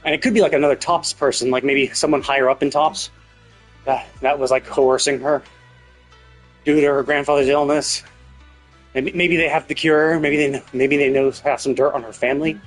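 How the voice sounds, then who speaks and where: A man streaming a game, casually rambling and thinking out loud as he commentates. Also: fairly high pitch, fairly even intonation, moderate pace, faint music behind. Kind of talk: casual live stream commentary, speculating aloud.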